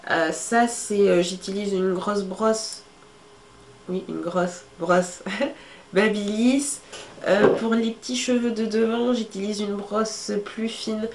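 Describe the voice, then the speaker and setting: A young woman with a stuffy cold talks her viewers through her hair tools in a relaxed, chatty way, stopping often on fillers. Her voice sits at a middle pitch. It was recorded in an echoey room with a faint hiss of ambient noise. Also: slow pace. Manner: chatty, many fillers, shows items to camera. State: congested with a cold. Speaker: beauty vlogger. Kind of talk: casual how-to talk to camera.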